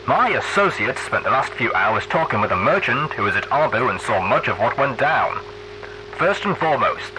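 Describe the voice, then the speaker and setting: A man's voice: mid-range voice, background noise, pitched above the performer's own voice, close to an everyday voice. A man voicing a brash, theatrical pony radio DJ who delivers news in a folksy, emphatic showman's style. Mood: upbeat, proclaiming. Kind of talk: post-apocalyptic radio broadcast.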